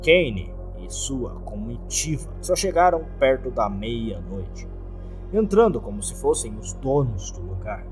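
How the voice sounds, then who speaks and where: Expressive Brazilian man narrating a horror story. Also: mid-range voice. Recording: music behind the voice.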